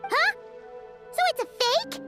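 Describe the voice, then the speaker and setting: A woman's voice: very high-pitched, animated, slow, full character voice. A woman voicing a small, perky, cheeky girl companion in a video game, blunt and full of exclamations. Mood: excited, surprised. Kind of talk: scripted video game dialogue. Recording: music behind the voice.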